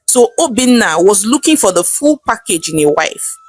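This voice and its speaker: A woman's voice: mid-range voice, big pitch swings, moderate pace, breathy edge, no echo, faint music behind. A woman with a Nigerian accent narrating a folktale as a storyteller. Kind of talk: animated scripted folktale narration.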